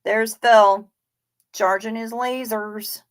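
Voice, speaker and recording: A woman's voice: a little high, fairly even intonation, slow pace, dry recording. A woman in her fifties hosting a casual livestream, reading chat comments aloud in a chatty, wry way with casual swearing. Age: 50s. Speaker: livestream host.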